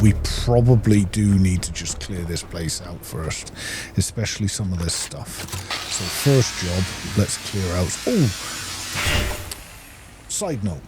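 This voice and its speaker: A man giving casual gameplay commentary, thinking aloud in an informal way. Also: on the low side. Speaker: gaming video host. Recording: loud engine and game ambience noise.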